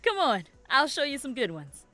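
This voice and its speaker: A woman's voice: sits high, sing-song, moderate pace, sharp tone, full character voice. A woman playing a poised, affectionate wife and mother who keeps a bookshop, with dry humour, in an audio drama. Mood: helpful.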